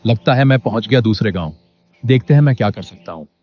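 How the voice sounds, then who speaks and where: A man playing an honest, poor villager, earnest and polite, in an animated story. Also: lowish voice, fast talker, close to an everyday voice. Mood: helpful, concerned. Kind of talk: animated Hindi moral story acting. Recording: dull lo-fi audio with music behind.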